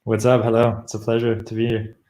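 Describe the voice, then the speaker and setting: A man's voice: fairly low pitch, completely flat delivery, a little fast, mellow. German-accented man, echoey room. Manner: rambling, many fillers and restarts. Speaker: guest product manager. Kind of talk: casual interview chat.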